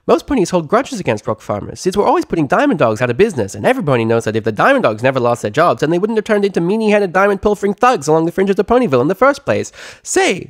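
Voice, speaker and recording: Male reader as bubbly girl pony, high-pitched, swooping intonation, gushing storybook chatterbox, dry close recording. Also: no room sound. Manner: gushing, rambling, endless run-on chatter. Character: hyperactive, chatty, bubbly young female pony party girl. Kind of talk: animated fan fiction character reading.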